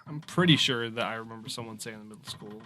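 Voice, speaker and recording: A man's voice: lowish voice, quick pace, bright, forceful tone. An American man co-hosting a podcast in casual, mock-serious chat. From Michigan, US. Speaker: podcast co-host.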